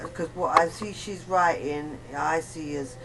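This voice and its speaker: A woman with a slightly low voice talks slowly and haltingly in casual conversation. She rambles and repeats herself as she explains. The amateur recording has clear background noise. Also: fairly even intonation. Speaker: interview guest. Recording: noisy amateur recording of a chat.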